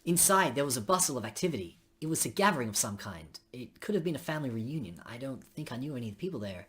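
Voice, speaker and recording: A woman streamer with a remarkably low voice reads visual novel dialogue aloud. Her tone is husky and unclean around the edges, and she slips between the script and casual commentary. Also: big pitch swings, fast talker. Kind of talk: reading game dialogue aloud with casual asides.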